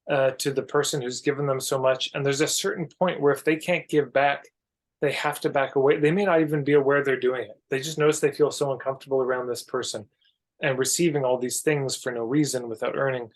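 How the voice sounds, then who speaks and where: A man speaking as a podcast guest, explaining ideas reflectively in an emphatic but measured conversational way. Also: mid-range voice, steady tone.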